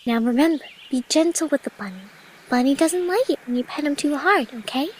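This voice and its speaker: A woman voicing a gentle, patient, motherly young female cartoon pony in an audio drama, soft-hearted and coaxing. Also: high voice, expressive, a little fast, slight background noise, rough recording. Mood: gently coaching. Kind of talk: scripted audio drama character voice.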